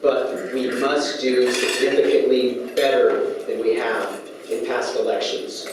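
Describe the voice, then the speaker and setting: A middle-aged man whose first language is Spanish, giving a sincere, prepared speech as a guest speaker, with frequent "uh" pauses. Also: medium pitch, fairly even intonation, background noise. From United States, California. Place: large banquet hall at a lunch event. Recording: echoey hall, distant, clattering dishes.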